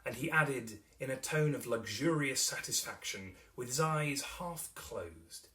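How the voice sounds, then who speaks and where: A man narrating a classic novel as a dramatic reading, theatrical and expressive, with carefully shaped phrasing. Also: mid-range voice, measured pace, distant mic. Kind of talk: dramatic literary audiobook narration.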